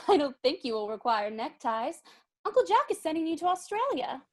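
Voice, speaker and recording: A woman's voice: high-pitched, big pitch swings, normal speed. A woman playing a prim, innocent and frank young society girl in a dramatic reading of a stage comedy. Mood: primly teasing.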